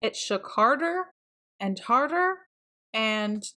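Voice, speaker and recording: Female children's storybook narrator: bright, lively pitch swings, deliberate and expressive. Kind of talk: read-aloud for children.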